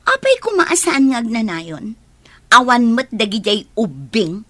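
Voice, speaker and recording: Giddy, boastful newly rich woman, slow drawl, lively pitch. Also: no room sound, exaggerated voice. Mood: gloating. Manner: laughing, boastful. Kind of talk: radio drama acting.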